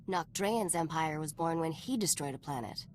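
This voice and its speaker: A woman's voice: sits low, lively intonation, normal speed, a little hiss or hum. A woman voice-acting a cool, knowing smuggler informant in a video game, wry and composed as she tells stories.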